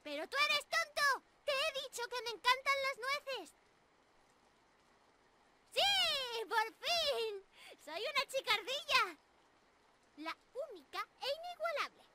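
A woman voicing a young squirrel monster girl in an anime-style ASMR roleplay, excitable, ditzy and stammering. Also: very high voice, slow pace, long pauses, slight background noise, full character voice. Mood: playful, bubbly. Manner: bubbly, excitable, stammering, giggly.

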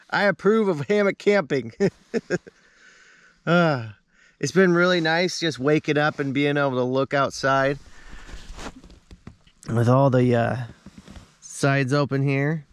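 A man's voice: on the high side, slow pace, pauses often, clear, resonant tone. A man in his thirties chatting casually to camera in a laid-back, easygoing way from inside a small tent outdoors. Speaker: camping vlogger. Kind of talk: casual vlog chat to camera.

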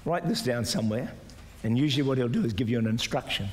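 An older man preaching a sermon as pastor, exhorting the congregation, sometimes shouting and mimicking doubters in a higher voice. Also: expressive, fast talker. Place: large church auditorium with stage. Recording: live church hall reverb with music. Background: soft music and congregation responses.

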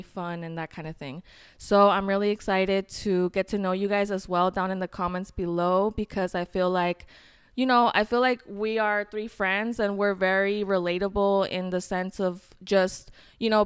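A woman's voice: medium pitch, clean tone. A woman turning thirty, talking earnestly and reflectively on a podcast, a little rambling, into a handheld mic on a couch. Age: 20s. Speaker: podcast co-host. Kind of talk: casual chat. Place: living room, on a couch.

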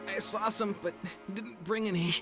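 A man playing a badly wounded young police officer in a video game, in pain and speaking in halting, breathless phrases. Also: sits high, steady tone. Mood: pained, desperate. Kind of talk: dramatic video game acting. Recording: loud music behind the voice.

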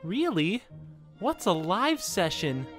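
Guy doing an excitable little kid in a radio ad, high voice, swoops around, gee-whiz. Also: takes it slowly, faint music behind, heavily acted. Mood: eager, curious. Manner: gee-whiz, exaggeratedly eager. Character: excitable young boy, curious son in a cheesy ad. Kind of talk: scripted radio ad dialogue.

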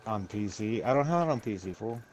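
A man's voice: fairly low pitch, lively intonation, brisk, a little mellow. A man chatting casually while gaming, rambling and swearing freely. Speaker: gamer talking while playing. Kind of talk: casual chat. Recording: clearly noisy game-session mic, clicks.

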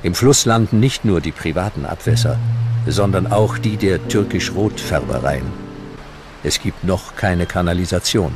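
Very deep-voiced documentary narrator, male. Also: expressive, moderate pace, over music.

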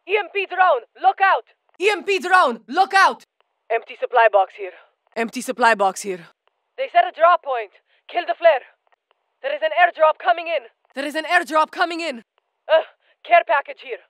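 A woman's voice: high voice, fairly bright tone, no echo, rough recording. An actress voicing a tough female special forces operator in a video game, giving clipped tactical callouts. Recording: dry studio recording.

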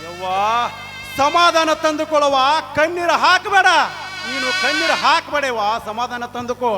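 A man's voice: extremely high pitch, normal speed, over music. A man playing a young jailed son who consoles his mother and pleads with guards, with a North Karnataka Kannada accent. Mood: consoling. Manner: pleading, consoling, calls out loudly. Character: young jailed son, beaten but brave. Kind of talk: stage drama acting.

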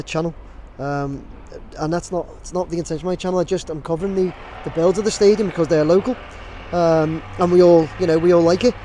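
A man's voice: sits high, a little mellow, slight background noise. A man in his thirties vlogging to a handheld camera on a city street, talking casually with lots of fillers and restarts. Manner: rambling, many fillers and restarts. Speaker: drone videographer. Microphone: camera held at arm's length.

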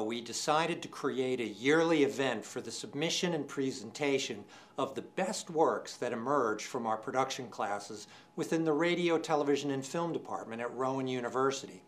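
An older man in his sixties presents an awards show from a script, with a medium-pitched voice. His delivery is formal and measured, and he enunciates names and details deliberately. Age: 60s. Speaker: department chair presenting awards. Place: indoor studio set.

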